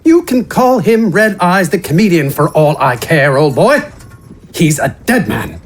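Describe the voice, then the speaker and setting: A man's voice: on the high side, sing-song, measured pace, a little hiss or hum, voice pushed up. A man voicing a pompous, wry, genteel older traveler in a fantasy audio drama, with ornate phrasing.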